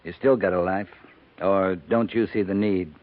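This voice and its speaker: An actor playing a steady, compassionate frontier cavalry captain in a 1950s radio western, with terse, measured delivery. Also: male voice, low voice, close to an everyday voice. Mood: gentle reassurance. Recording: tinny, noisy 1950s radio recording.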